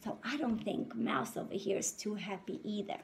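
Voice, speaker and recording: A woman in her thirties, a first-grade teacher reading aloud to young children and asking them questions in an animated, instructive way. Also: mid-range voice, moderate pace, creaky, a little hiss or hum. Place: indoors in a home room.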